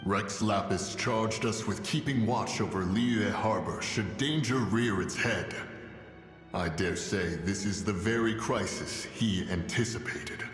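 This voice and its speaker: An actor as a deep, echoing, stern immortal guardian. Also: male voice, a little slow, lightly acted. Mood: grave, commanding. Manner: formal, archaic, imperious phrasing. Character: stern, lofty immortal adeptus, ancient male guardian. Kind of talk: theatrical fantasy game voice acting. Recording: roomy long reverb, faint music behind.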